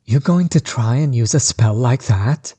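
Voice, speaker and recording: Man acting as villainous sorcerer overlord, very breathy husky voice, dark mellow tone, bitter, condescending, measured audio roleplay monologue. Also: mid-range voice, expressive. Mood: amused, taunting. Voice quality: breathy, husky, often sinking toward a whisper. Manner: measured, condescending, coldly taunting. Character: menacing male sorcerer overlord, bitter former friend.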